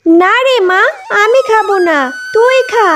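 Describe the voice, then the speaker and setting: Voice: extremely high pitch, slow pace, music in the background. A performer voicing a tender, worried mother fox in a children's cartoon, speaking in a soothing, protective, motherly way.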